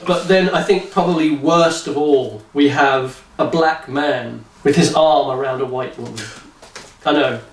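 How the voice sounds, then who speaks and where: A man's voice: fairly high pitch, a little slow. A man giving casual comedic commentary as a show co-host, with a sarcastic, mock-solemn manner and a giggly streak. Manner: giggly, often laughing through speech. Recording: echoey room, distant mic, clicks and noise.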